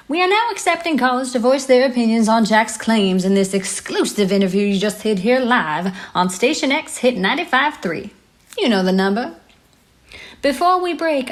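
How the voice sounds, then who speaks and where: A woman's voice: fairly high pitch, close to an everyday voice. A woman playing a sceptical radio host in an audio drama, with a pointed, accusatory, articulate presenter delivery. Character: probing, sceptical female radio show host. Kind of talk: audio drama acting as live radio interview.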